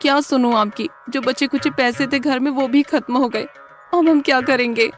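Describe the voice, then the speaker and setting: A woman voicing an anxious, quick-tempered village wife in a cartoon story, fretful and full of exclaimed questions. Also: sits high, soft background music, voice pushed up, close to an everyday voice. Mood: fretful complaining.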